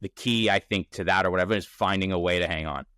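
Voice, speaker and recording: A man of thirty speaks in a low voice, casual and energetic. He thinks aloud as a founder on a podcast, restarting often. The sound is dry and close. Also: fast talker, no room sound. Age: 30s. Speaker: startup founder, podcast guest. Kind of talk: casual interview conversation. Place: Toronto.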